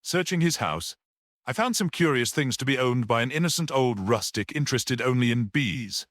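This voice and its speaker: A man voicing a courteous, self-assured detective in an audiobook story, speaking in a formal, measured and dry way. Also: mid-range voice, expressive, fairly bright tone, no room sound, pitched above the performer's own voice, lightly acted. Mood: composed, explanatory.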